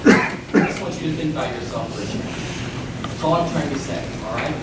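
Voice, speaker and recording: A man's voice: medium pitch, fairly quick, natural voice. A man playing a folksy, worried middle-aged small-town father in a stage play. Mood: earnest, worried. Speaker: amateur stage actor. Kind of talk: one-act stage play acting. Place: indoor stage, recorded from far off. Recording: distant, echoey, noisy stage recording.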